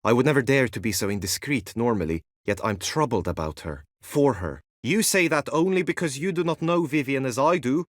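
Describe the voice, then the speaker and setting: An actor playing an earnest, lovesick, chivalrous knight in a video game, with formal, archaic courtly phrasing and an anxious streak. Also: male voice, mid-range voice, lively intonation, brisk, few pauses, no echo, clean studio sound, lightly acted. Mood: troubled, confiding. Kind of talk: video game character acting.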